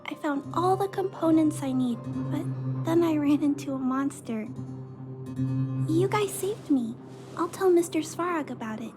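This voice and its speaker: A woman's voice: high-pitched, expressive, measured pace, over music. A woman voicing a timid, earnest young girl in a video game, hesitant and polite. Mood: timid, grateful. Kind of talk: video game cutscene voice acting.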